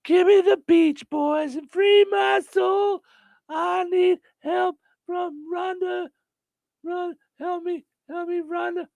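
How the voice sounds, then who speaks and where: A man's voice: very high-pitched, slow pace, gravelly, dry recording. A man hosting a radio show, reading listener comments aloud and chatting playfully with his co-host. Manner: lively, playful, lots of affirming murmurs. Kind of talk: casual chat.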